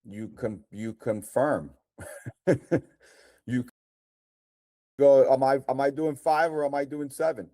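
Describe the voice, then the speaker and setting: A man's voice: mid-range voice, steady tone, slow pace, pauses often, warm tone, no echo, studio quality. A man in his forties from Colombia, native Spanish speaker with a Colombian accent, giving confident practical comedy advice as a guest in a casual chat. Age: 40s.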